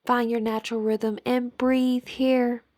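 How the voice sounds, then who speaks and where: A woman with a fairly high voice guides a meditation. She speaks very slowly and deliberately, in a soothing way, leaving gentle pauses between her instructions. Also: little pitch movement.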